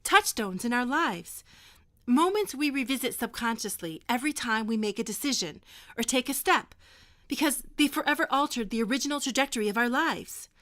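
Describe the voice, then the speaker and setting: A woman acting a firm, no-nonsense high school teacher in a naturalistic audio drama. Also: lively intonation, a little fast, a bit bright. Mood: instructive. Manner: firm, instructive.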